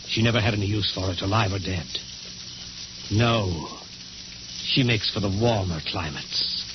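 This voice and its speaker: Guy playing creepy deliberate middle-aged husband, ominous old radio suspense, lowish pitch, lots of hiss. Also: natural voice. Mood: brooding, ominous. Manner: brooding, slow and deliberate, ominous. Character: menacing, obsessed middle-aged husband haunted by old murder. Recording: noisy, hissy, muffled old radio recording.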